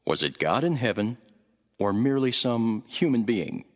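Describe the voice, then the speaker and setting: An actor playing a calm, authoritative male teacher and prophet in a dramatized scripture reading. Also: mid-range voice, normal speed, natural voice. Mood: assured.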